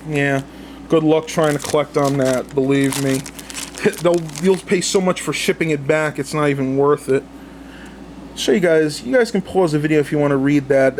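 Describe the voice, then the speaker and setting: A man's voice: on the high side, slight background noise. A man chatting casually and unscripted to viewers, thinking aloud in colloquial language as he shows things at home. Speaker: vlogger showing a haul of vintage cassette tapes. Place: indoors at home.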